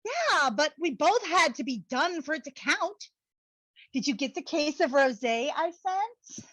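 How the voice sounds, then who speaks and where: Casting: actress as dramatic young bestie, needy and blunt, very animated high voice, comedic stage reading. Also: female voice, measured pace, no echo, heavily acted. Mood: gushing excited. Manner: exclamatory, dramatic, valley-girl slang. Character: Brooklyn, gushing, needy, blunt young married best friend. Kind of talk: online play reading, comedic dialogue.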